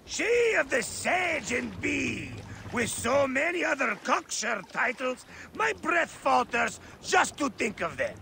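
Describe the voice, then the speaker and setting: A man's voice: very high-pitched, very animated, slow, vocal fry, few pauses, pushed and bright, a little hiss or hum, full character voice. A man playing a boisterous, roguish older sea captain in a video game cutscene, theatrical and jovial, moving between bellows and wry asides. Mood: grandiose, jovial. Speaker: ship captain.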